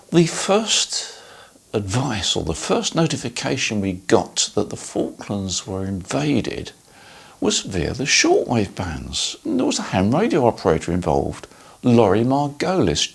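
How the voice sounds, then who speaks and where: An older man talks informally as a video host. His voice is low and crackly with fry, and it rises and falls in an animated way. He rambles along conversationally. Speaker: host of a ham radio dealer's video channel. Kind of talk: casual informal talk to viewers.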